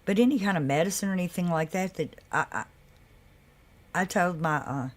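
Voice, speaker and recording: Woman, deep carrying voice, low-pitched, chatty livestream host. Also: brisk. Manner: loose and chatty, with laughs and asides to viewers. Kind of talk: casual live chat.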